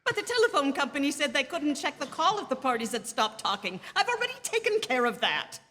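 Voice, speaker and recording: Casting: woman playing high-pitched, imperious invalid wife, nervy and stammering, classic radio suspense, performed in a reverberant theatre. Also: big pitch swings, fairly quick, bright, forceful tone, slight background noise, full character voice. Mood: indignant insistence. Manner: agitated, stammering, haughty, indignant. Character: nervous, imperious bedridden wife, fretful and insistent. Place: live theatre stage. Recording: long hall reverb from theatre stage.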